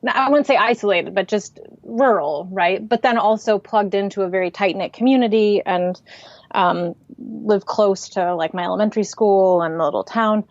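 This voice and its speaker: A reflective woman guest talks through her thoughts in an unhurried way, pausing on fillers. Her voice sits at an everyday pitch and comes across dry, with no room sound. The recording is a little dull and muffled. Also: no room sound. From Pacific Northwest, United States. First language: Swedish. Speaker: podcast guest, writer and artist. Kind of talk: casual interview conversation.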